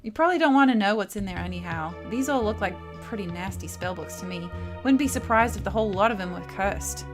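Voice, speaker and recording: A brash, streetwise young girl thief from a video game, read out by a woman. She talks bluntly and in slang at a middle pitch. Game music plays behind her. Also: expressive, fast, close to an everyday voice. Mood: wary.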